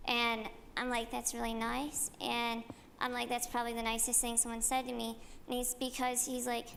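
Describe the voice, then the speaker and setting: Creaky, even-toned woman, casual and halting. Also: a little high. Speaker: audience member sharing experience. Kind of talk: informal personal comment. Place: large conference hall, audience floor. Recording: hall reverb, faint background noise.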